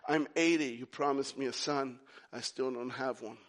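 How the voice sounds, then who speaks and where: A man's voice: mid-range voice, fairly even intonation, normal speed, a bit bright, voice pushed down, natural voice. A man putting on a very old man who keeps pleading and asking whether he heard a divine promise right. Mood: weary doubt. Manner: pleading, repeating questions.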